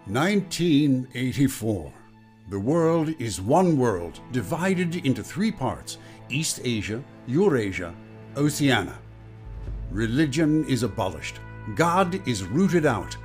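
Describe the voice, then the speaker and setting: An actor playing a grave, knowing voice in a radio drama, speaking deliberately and theatrically. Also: male voice, medium pitch, very animated, darkish tone, soft background music. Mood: grave, declaiming. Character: grave narrator and needling, knowing inner voice. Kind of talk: dramatic radio play narration and acting.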